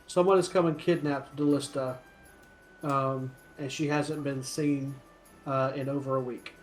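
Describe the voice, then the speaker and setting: A man narrating a tabletop role-playing game as game master in a halting, hesitant way. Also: mid-range voice, steady tone, echoey room, faint music behind.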